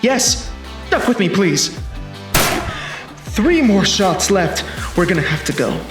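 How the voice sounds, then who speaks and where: A time-traveling bodyguard in a sci-fi action film. His voice is high and very animated, terse and commanding with a cocky edge. It rings in a roomy space with music clearly behind it. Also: takes it slowly, full character voice. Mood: urgent, wry. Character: urgent male time-traveling protector from the future, commanding and cocky.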